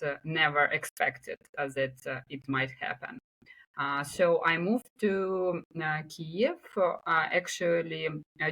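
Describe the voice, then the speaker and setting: A woman's voice: low voice, a bit bright, poor audio. A woman from Ukraine with a Ukrainian accent, speaking English as a guest on a remote interview, hesitant with many filler sounds. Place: home in Kyiv, remote call.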